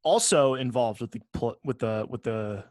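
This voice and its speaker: A man's voice: medium pitch, moderate pace, a little mellow, no echo, studio quality. A man hosting a podcast, chatting casually about entertainment in emphatic, profanity-laced slang. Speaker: podcast host. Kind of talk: casual podcast chat about movies and games.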